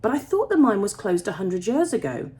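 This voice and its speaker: A woman's voice: medium pitch, big pitch swings, brisk, dark tone, pitched above the performer's own voice, lightly acted. A woman voicing a shy, polite, nervous little girl in a children's story. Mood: nervous, puzzled. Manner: tentative, hesitant.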